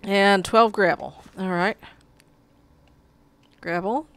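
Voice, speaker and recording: A woman's voice: mid-range voice, takes it slowly, no room sound. A woman with a cold playing a video game, reading the quest text aloud and swearing at her mishaps as she plays. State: has a cold, sucking a cough drop. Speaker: let's play gamer. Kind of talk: casual gameplay commentary.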